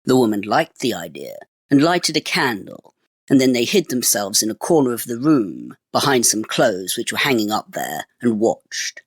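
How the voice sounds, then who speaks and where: A man reading a fairy tale as storyteller, in expressive, measured phrases. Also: mid-range voice, breathy edge, no room sound, clean studio sound. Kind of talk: scripted storybook narration.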